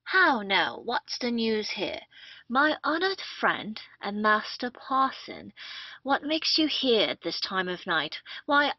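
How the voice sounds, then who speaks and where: A woman's voice: medium pitch, exaggerated voice. A woman playing a vengeful, theatrical male army captain in an old stage comedy, taunting in old-fashioned English. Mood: mocking. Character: vengeful gloating male army captain, first posing as constable. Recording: muffled lo-fi roomy home recording.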